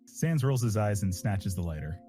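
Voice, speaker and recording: A man's voice: on the low side, expressive, moderate pace, mellow, faint music behind. A man reading fan fiction aloud casually, with dry, wisecracking asides. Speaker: voice actor, co-reader.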